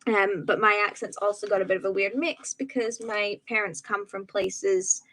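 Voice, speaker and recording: A young woman from Edinburgh with a soft, somewhat posh-sounding Scottish accent, chatting casually and rambling during a crafting livestream. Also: mid-range voice, steady tone, moderate pace, rough recording. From Edinburgh, Scotland. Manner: chatty, rambling, laughs easily, many "um"s and "like"s. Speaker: YouTube livestream host. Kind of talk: casual chat while crafting.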